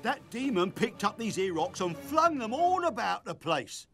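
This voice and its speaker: A man playing a big, good-natured, earnest working man in an animated dub, with a folksy British accent. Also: sits high, normal speed, soft background music, full character voice. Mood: protesting. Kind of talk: animated adventure series dubbing.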